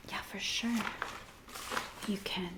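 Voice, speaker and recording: Whispering woman in slow, gentle ASMR roleplay. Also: rough recording. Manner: gentle, attentive, unhurried, speaking directly to the listener as a client. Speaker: ASMR performer playing a biographer in a roleplay.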